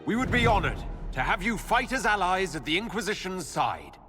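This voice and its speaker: An actor playing a calm, earnest leader in a fantasy video game, speaking in a measured way. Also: male voice, high voice, soft background music, heavily acted. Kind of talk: video game cutscene acting.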